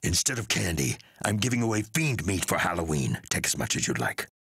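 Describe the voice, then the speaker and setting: A man voicing an eccentric, theatrical male fantasy game character with a rough, gravelly voice. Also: sits low, big pitch swings, a little fast, a bit bright, no room sound, studio quality, exaggerated voice. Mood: offering.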